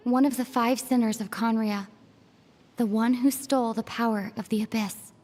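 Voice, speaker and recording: An actress playing a solemn female herald in a video game, portentous and deliberate. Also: a little high, steady tone, darkish tone, big-room sound, close to an everyday voice. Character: solemn female herald reciting a fateful title. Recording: music clearly behind the voice.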